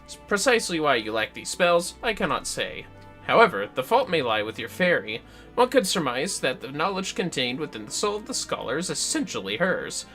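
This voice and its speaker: A man's voice: fairly high pitch, sing-song, a little fast, pushed and bright, faint music behind. A man voicing a formal, learned fantasy game scholar, reading dialogue theatrically in archaic wording. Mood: learned, pondering.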